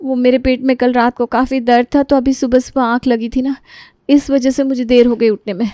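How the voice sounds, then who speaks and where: A woman's voice: sits high, little pitch movement, fairly quick, dark tone, no echo, clean studio sound, natural voice. A woman voicing a meek, overworked young daughter-in-law who pleads and complains in a dramatised story. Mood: pleading.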